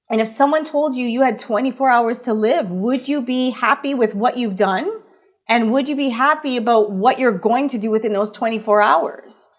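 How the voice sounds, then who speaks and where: Earnest motivational woman guest. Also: a little high. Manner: earnest, emphatic, rhetorical questions. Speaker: interview guest, motivational speaker. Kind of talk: conversational interview, motivational.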